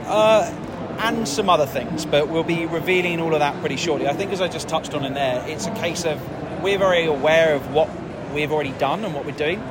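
Guy pitching his airline, confident, kinda repetitive, heavy noise behind. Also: fairly quick. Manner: confident, promotional, repeats himself. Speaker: airline founder guest. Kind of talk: business interview.

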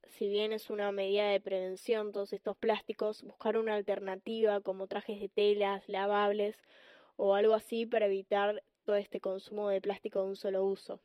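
A woman's voice: medium pitch, flat delivery, moderate pace, mellow, clear, resonant tone, no room sound. A teenage young woman with an Argentine accent, talking conversationally as a co-host on an opinion podcast. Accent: Argentine Spanish. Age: teens, secondary school student.

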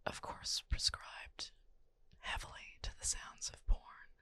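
A woman co-hosting a podcast in a soft whisper, speaking frankly and crudely, close to a special ASMR microphone, with a voice she says she often strains. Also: slow. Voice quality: strains her voice. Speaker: podcast co-host.

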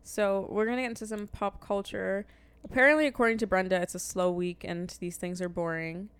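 A woman's voice: medium pitch, steady tone, brisk, clear, resonant tone. A woman co-hosting a casual podcast chat, direct and teasing, sometimes reflective. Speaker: podcast co-host.

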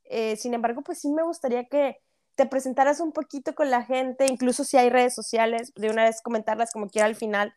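A woman's voice: fairly high pitch, no room sound, clean studio sound. Chatty Mexican woman hosting a podcast. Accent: Mexican Spanish. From Monterrey, Mexico. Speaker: podcast host. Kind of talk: casual podcast interview.

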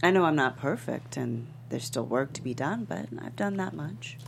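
Actress as creaky, animated, embattled woman star. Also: sits low, normal speed, a little hiss or hum. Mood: hurt. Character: proud, stressed, embattled female talk-show star. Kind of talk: script table read.

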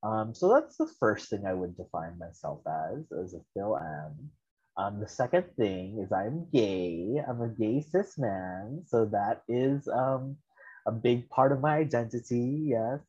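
A man's voice: lowish voice, expressive, unhurried, dark tone, breathy edge, lo-fi sound. A young man, born in the Philippines and raised in the US, telling his personal story as a podcast guest in a candid, reflective way. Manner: reflective, candid, laughs at himself.

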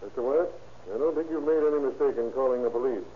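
An actor playing a businesslike, matter-of-fact police detective in the style of 1930s radio drama. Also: male voice, medium pitch, fast talker, lightly acted. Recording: tinny, noisy old radio recording.

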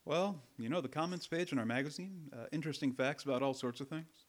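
A man's voice: a little high, fast, lightly acted. A man playing a polite, curious magazine reporter in a radio drama, affably asking questions. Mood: affable, eager.

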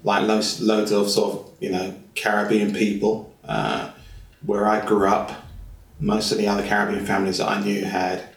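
A man's voice: lowish voice, moderate pace, vocal fry. A man, a guest in a casual interview, talking in a rambling, hesitant way with lots of fillers and repeated phrases. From England. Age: 50s. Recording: roomy echo.

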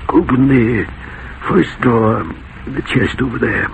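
An actor playing a frail, halting old grandfather with a thin, creaky voice in a 1940s radio drama, on a tinny old recording. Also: mid-range voice. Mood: weary, halting. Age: older. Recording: tinny, noisy vintage radio.

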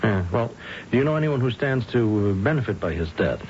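Actor as probing police lieutenant, muffled radio recording. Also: male voice, mid-range voice, animated, darkish tone, slight background noise, natural voice. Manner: hesitant, polite, methodical questioning with ums and pauses. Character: unhurried, probing male police lieutenant questioning a suspect. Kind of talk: old-time radio drama acting.

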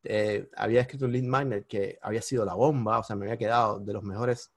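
A man with a Cuban accent, a podcast guest telling his story in lively casual conversation and bursting into high exclamations at the big moments. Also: fast talker, dry recording. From Cuba. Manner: animated, jumps into high-pitched exclamations when retelling moments.

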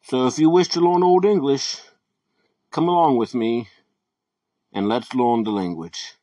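A man hosting a podcast, giving a scripted introduction in a measured, deliberate way. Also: mid-range voice, mellow, dry recording, muffled recording. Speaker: podcast host.

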